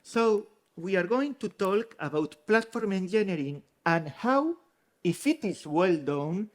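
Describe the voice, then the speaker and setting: A man's voice: high voice, animated, a little slow. A man from Spain with a mixed Spanish and French accent, earnestly explaining as a conference speaker. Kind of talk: conference talk. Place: conference hall.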